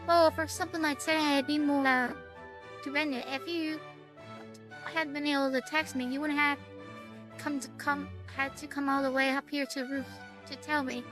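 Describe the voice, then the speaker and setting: A woman reading a visual novel aloud as a game streamer, casually and haltingly, dropping in side comments of her own. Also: sits high, steady tone, music in the background. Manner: halting, stumbling read-aloud.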